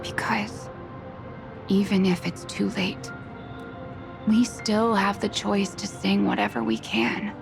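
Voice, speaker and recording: An actress voicing a weary, resigned young brother giving quiet replies in a game cutscene over music. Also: female voice, medium pitch, steady tone, takes it slowly, creaky, long pauses. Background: score music.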